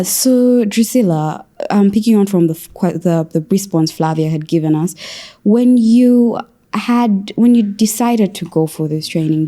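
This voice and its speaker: Young lady hosting a podcast panel, low relaxed voice, chatty and wordy. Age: young adult. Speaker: podcast host. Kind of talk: conversational panel moderation.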